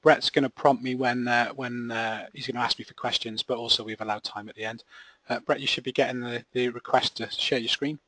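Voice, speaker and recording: A man's voice: medium pitch, fairly even intonation, brisk, a bit bright, dry recording. A man hosting a live webinar, chatty and friendly, full of fillers. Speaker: webinar host.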